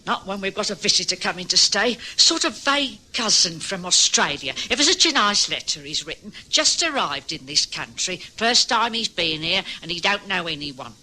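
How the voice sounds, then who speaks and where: A flustered London housewife. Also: female voice, medium pitch, lively intonation, normal speed, few pauses, sharp tone, rough recording. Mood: homely. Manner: flustered, homely, chattering. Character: frail, fussy working-class London wife. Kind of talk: 1950s radio drama acting.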